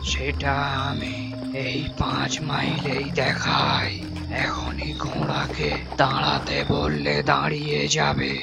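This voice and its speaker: Slow, gravelly, menacing ghost. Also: male voice, mid-range voice, big pitch swings, heavily acted. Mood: menacing, boastful. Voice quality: gravelly, creaky, partly whispered. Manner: slow, drawn-out, boastful menace. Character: eerie, menacing male ghost haunting a lonely night road. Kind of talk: animated horror story acting. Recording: loud music behind the voice.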